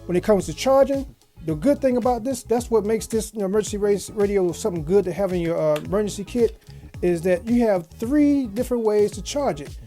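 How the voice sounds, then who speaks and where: A woman's voice: medium pitch, fairly quick, mellow, soft background music. A woman giving a casual product review as a video host, in a folksy, slangy way with repeated phrases. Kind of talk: casual unboxing review chat. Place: small home office studio.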